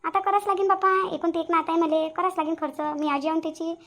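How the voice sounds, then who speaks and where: A woman's voice: very high voice, little pitch movement, fast talker, a bit bright. A woman voicing a grumbling, domineering old grandmother in a cartoon family drama, with a Varhadi Marathi accent and a complaining manner. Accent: Varhadi (Vidarbha) Marathi.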